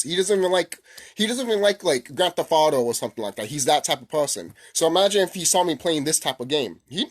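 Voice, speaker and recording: Higher-pitched man in a casual rant. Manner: blunt, heavy swearing, repeats himself. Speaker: YouTube gaming commentator. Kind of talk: casual, unscripted opinion rant.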